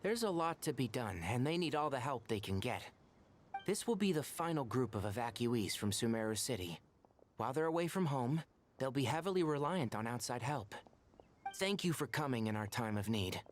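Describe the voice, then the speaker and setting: An actor playing a composed young forest watcher and mentor in an anime-style video game, articulate and matter-of-fact. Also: male voice, mid-range voice, sing-song, normal speed, a little hiss or hum, close to an everyday voice. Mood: dutiful.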